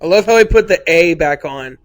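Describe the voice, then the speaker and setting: A man's voice: fairly high pitch, lively intonation, fairly quick. A man co-hosting casual film commentary, chiming in with short reactions. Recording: music playing behind the voice.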